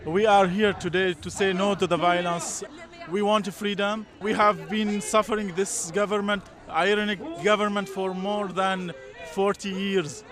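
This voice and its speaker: A man at a street protest with his kids, speaking in a measured way with pauses. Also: sits high, fairly even intonation, fairly bright tone. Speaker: protester and father.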